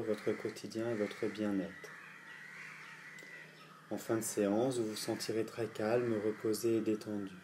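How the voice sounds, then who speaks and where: A man with a fairly low voice leads a guided relaxation. He speaks calmly and gently, placing each instruction deliberately and leaving pauses. Faint night insects and natural sounds sit behind his voice. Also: a little hiss or hum. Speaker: yoga nidra guide. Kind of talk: guided meditation. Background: crickets and insects at night.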